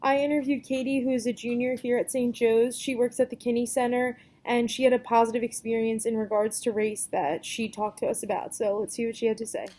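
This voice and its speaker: A young woman, a student, reading a scripted narration clearly. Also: on the high side, level tone, a little fast, hardly any pauses, warm tone. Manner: clear, read-aloud delivery.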